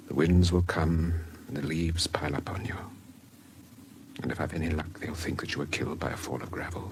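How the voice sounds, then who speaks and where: An actor playing a courteous, slightly hesitant English gentleman with a secret, in a British radio drama. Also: very deep voice, slight background noise. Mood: hushed, anxious. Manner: polite, hesitant, slightly fussy.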